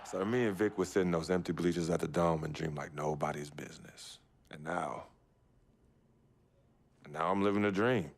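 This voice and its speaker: Young basketball star, very deep voice, laid-back storytelling, game cutscene. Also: male voice, normal speed, long pauses. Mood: reflective. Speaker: basketball player. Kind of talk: video game cutscene narration.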